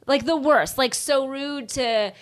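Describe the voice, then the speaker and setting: High-voiced, excitable woman podcast host. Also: takes it slowly. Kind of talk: casual chatty interviewing.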